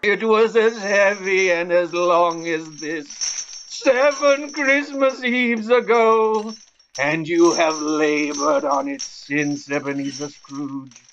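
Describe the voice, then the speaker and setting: A man performs a mournful ghost bound in chains for an audio play. He declaims very slowly, with solemn, weary warning in every phrase. The recording is dull and lo-fi. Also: high-pitched, a bit bright, a little hiss or hum, exaggerated voice. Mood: mournful, doomed. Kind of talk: audio play acting of a classic ghost story.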